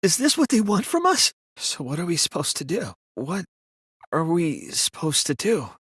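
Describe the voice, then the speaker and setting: A man voicing an earnest, sensitive young student detective in an anime video game, with intense, emotional, sometimes halting delivery. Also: a little high, huge pitch swings, fairly bright tone, slightly rough, no room sound, studio quality, heavily acted. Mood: despairing, shaken. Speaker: voice actor.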